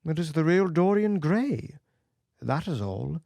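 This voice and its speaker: A man reading an audiobook, voicing a witty, cynical aristocratic gentleman with a lofty, assured manner. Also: fairly high pitch, very animated, measured pace, vocal fry, no echo, pitched above the performer's own voice, exaggerated voice. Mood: cool.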